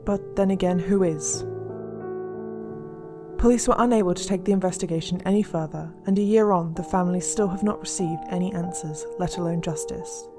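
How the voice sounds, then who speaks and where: A woman as a serious investigator with a lowish voice, narrating a mystery in measured, solemn phrases. Instrumental music plays clearly beneath her scripted radio delivery. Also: natural voice. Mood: grave, questioning. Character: serious female investigator narrating unsolved disappearances.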